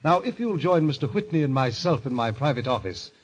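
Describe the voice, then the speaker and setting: An actor playing the polished, coolly menacing president of a deadly card club, formal and courteous, in a golden age radio drama. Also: male voice, medium pitch, very animated, a little fast, slight background noise, close to an everyday voice. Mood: smoothly pleased. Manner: formal, courteous, commanding delivery. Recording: dull old radio recording.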